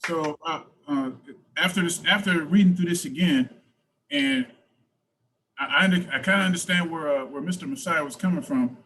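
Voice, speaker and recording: A man politely offers notes in an informal script discussion, hesitating as he goes. His voice is a little high, with faint noise behind the call. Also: moderate pace, fairly bright tone. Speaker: table read participant giving script notes. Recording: noisy video call background.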